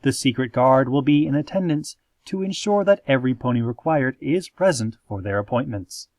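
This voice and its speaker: Deadpan man hosting eerie radio, expressive pitch. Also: no echo, studio quality. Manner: measured, theatrical, deadpan delivery of absurd announcements. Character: calm, eerie small-town pony radio announcer. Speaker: radio host in a parody podcast. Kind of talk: scripted community radio news parody.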